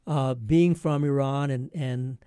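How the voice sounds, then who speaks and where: A man hosting a conversational radio interview, speaking in a halting way as he searches for his words. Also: medium pitch, steady tone, warm tone, smooth, dry recording, studio quality. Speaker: radio show host.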